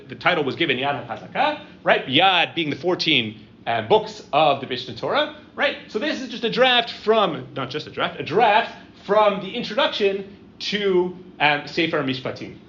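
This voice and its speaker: Middle-aged male lecturer, slightly high voice, talks in stops and starts with constant "right?" checks, dull muffled room recording with faint background hum. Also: a bit bright. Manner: conversational, halting, frequent "um" and "right?" tags. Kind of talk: university-style lecture with audience questions.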